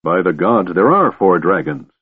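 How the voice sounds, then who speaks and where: A man voicing a booming, befuddled old dragon. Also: animated, moderate pace, husky, phone-quality sound, heavily acted. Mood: astonished. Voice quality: deep, booming. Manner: trumpeting, roaring, grandiose. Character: decrepit old golden dragon, fierce, befuddled, eager for battle. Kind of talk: audiobook fantasy character voice.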